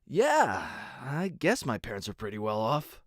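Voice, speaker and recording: A man's voice: medium pitch, huge pitch swings, unhurried, dry recording. A man voicing an earnest, dutiful young stallion who serves as a royal guard and speaks a little hesitantly in a fan audio drama. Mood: uneasy, earnest.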